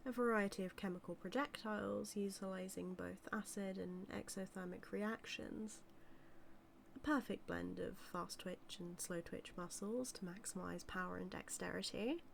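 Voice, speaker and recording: A woman with a British accent playing a doting, villainous mad scientist in an audio roleplay, musing in a measured, condescending yet tender way. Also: mid-range voice, steady tone, creaky, slight background noise, close to an everyday voice. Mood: proud. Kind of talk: scripted audio roleplay monologue.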